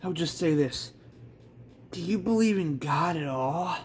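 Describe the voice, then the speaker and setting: A man's voice: fairly high pitch, expressive, a little slow, slight background noise. A man voicing a defiant, smirking young woman prisoner from a manga story, taunting and foul-mouthed.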